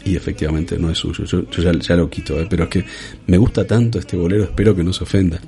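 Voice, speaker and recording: A man hosting a comedy interview in a studio, polite and deadpan, often stammering on restarts. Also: sits low, fast talker, faint music behind. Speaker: host.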